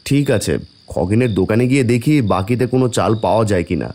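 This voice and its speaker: A man's voice: fairly low pitch, animated, fast, warm tone, close to an everyday voice. A man voicing a poor, mild-mannered village farmer and father in a cartoon fairy tale. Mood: dejected.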